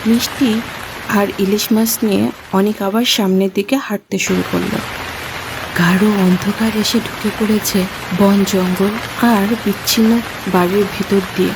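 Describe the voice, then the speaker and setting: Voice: medium pitch, fairly even intonation, a little slow, background noise. A narrator reading a scripted horror story. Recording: loud music under the voice. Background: loud background music.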